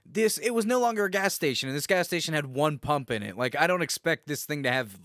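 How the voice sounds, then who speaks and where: A man's voice: fairly high pitch, expressive, quick pace, a bit bright, no room sound. American man bantering, close dry recording. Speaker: podcast co-host. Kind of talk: casual bantering chat.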